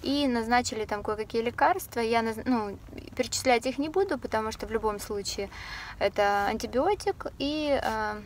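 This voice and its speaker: A woman's voice: on the high side, lively intonation, normal speed. A young woman chatting casually to the camera from her parked car, with many filler sounds and light laughs. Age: young adult. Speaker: vlogger. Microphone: camera on the dashboard. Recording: faint background noise.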